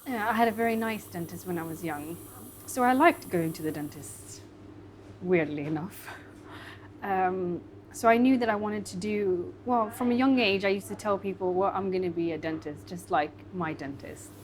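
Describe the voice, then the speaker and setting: A woman in her twenties, raised in Sweden, who works as a dentist. She speaks softly and reflectively in a documentary interview, with frequent fillers, at her dental practice. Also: mid-range voice, animated, normal speed, a little hiss or hum. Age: 20s.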